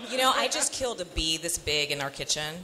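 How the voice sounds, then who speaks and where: A young woman, a stage actor, telling animated stories full of "like" on a convention panel, speaking into a handheld microphone. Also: sits low, fairly quick, background noise. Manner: animated storyteller with many fillers. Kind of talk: casual panel storytelling. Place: convention panel room, indoors.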